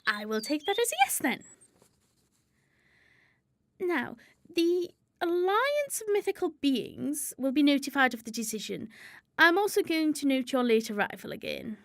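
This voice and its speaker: A woman's voice: high-pitched, big pitch swings, unhurried, dry recording, clean studio sound, full character voice. A woman acting a bossy, pompous season spirit in a comedic radio drama, speaking formally and officiously. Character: Spring, prim bossy female season chairing a meeting.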